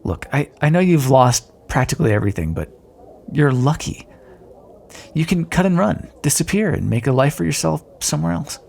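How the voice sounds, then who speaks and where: A man's voice: on the high side, big pitch swings, moderate pace, creaky, darkish tone, heavily acted. A man playing a roguish, sardonic but decent thief in an audio roleplay, talking directly and teasingly to the listener. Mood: earnest, sympathetic. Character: roguish male thief escorting a deposed queen, wry but decent.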